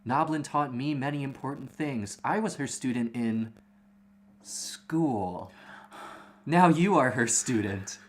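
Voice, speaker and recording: Woman reading as a measured, solemn mother. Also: very deep voice, a little hiss or hum. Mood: solemn, advising. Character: solemn, protective mother advising young son.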